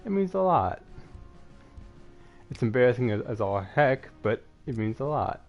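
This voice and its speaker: A man's voice: mid-range voice, big pitch swings, moderate pace. A man with a slight lisp chatting casually and rambling as he streams gameplay, in a self-effacing way. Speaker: gaming streamer. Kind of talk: casual live gameplay commentary, chatting with viewers. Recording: dull lo-fi mic, music behind.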